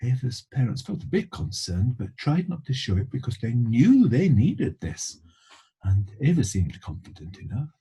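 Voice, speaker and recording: A man reading a children's story aloud in an expressive storytelling style. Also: medium pitch, normal speed, breathy edge. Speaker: story reader.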